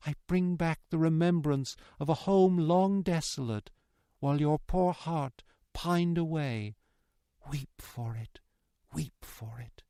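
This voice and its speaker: A gentle, devoted young woman, voiced by a male narrator, pleads in impassioned, repeating cadences. The tone is raised, smooth and tender, in a dry, intimate storybook recording. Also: on the high side, dry recording, pitched above the performer's own voice, natural voice. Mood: tearful, earnest. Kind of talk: dramatic audiobook character reading.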